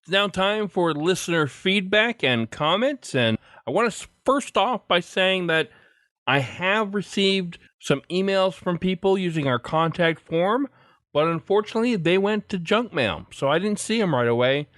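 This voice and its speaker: Higher-voiced male podcast host, dry close sound. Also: expressive, normal speed, a bit bright, no room sound. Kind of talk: podcast hosting, reading listener mail and show announcements.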